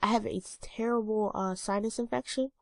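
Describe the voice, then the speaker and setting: A woman's voice: mid-range voice, steady tone, normal speed, creaky, darkish tone, no room sound. Stuffy-nosed young woman rambling casually. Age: 20s. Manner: rambling, many ums and uhs. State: stuffy, congested nose. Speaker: vlogger. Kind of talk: casual talking-head vlog.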